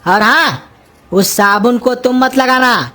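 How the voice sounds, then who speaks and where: A woman's voice: a little high, slow pace, bright, forceful tone. A woman voicing a cranky, scolding old cartoon uncle. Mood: bossy, suspicious. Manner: gruff, insulting, exasperated scolding. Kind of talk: cartoon comedy dialogue in rustic Hindi.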